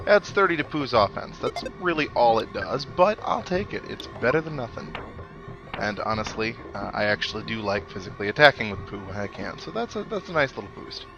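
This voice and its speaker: Low-voiced man doing game commentary. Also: very animated, a little fast, muffled recording. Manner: rambling, thinking aloud. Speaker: gaming host. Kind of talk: casual let's play commentary. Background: video game music.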